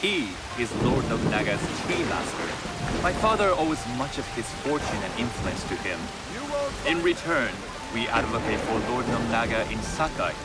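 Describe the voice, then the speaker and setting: A man acts out a young heir to a merchant house in a game cutscene. He is proud and shrewd, with a formal, measured delivery in a mid-pitched voice, set over prominent music. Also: expressive, close to an everyday voice. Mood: explaining, assured. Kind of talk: video game cutscene acting.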